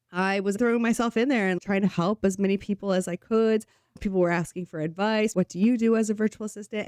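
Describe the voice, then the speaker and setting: A woman hosting her own channel, a little sick so her voice sounds slightly off, talking earnestly and encouragingly to camera and slowing down to stress key points. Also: mid-range voice, darkish tone, smooth, dry recording, clean studio sound. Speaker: host. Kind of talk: solo talk to camera.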